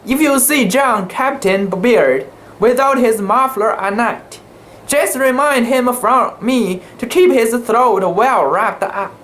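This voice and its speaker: A narrator voicing a kindly, cheerful old captain's wife in a gentle, earnest, motherly manner. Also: mid-range voice, takes it slowly, pitched above the performer's own voice. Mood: earnest, fond.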